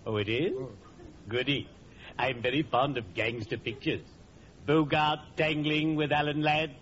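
A man's voice: a little high, steady tone, unhurried, a bit bright. A man playing a cheerfully ghoulish, genteel undertaker in 1940s radio comedy, with formal, theatrical diction. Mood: gleeful. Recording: muffled, faintly noisy old radio recording.

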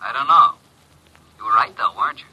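An actor playing a wisecracking, flippant detective's assistant with deadpan cheek, in old-time radio drama style. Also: male voice, medium pitch, brisk, slightly rough, highs cut off, close to an everyday voice. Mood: put out.

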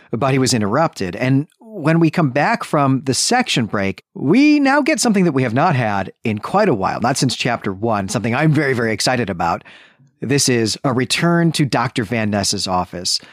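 A man with an animated, swinging delivery talks through a book as a podcast host. He is enthusiastic and leans on his words for emphasis, and the recording is close and dry. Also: mid-range voice, no room sound. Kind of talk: literary discussion and recap.

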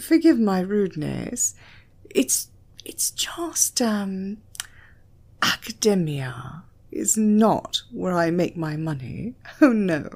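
A woman's voice: medium pitch, very animated, takes it slowly, darkish tone, heavily acted. A woman with a British accent voicing a bold, amused, commanding female lecturer in an audio story, matter-of-fact and teasing.

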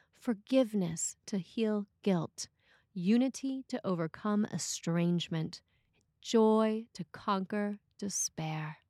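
A woman priest reading a scripted sermon in a measured, deliberate way. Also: fairly low pitch, a little mellow, no room sound, clean studio sound.

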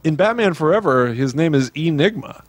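A man's voice: fairly high pitch, lively intonation, normal speed, dry recording. A man co-hosting a podcast, chatting casually and jokingly, riffing on silly ideas. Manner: jokey, riffing on silly puns. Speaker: podcast co-host. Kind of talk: casual comedic podcast chat about scary films.

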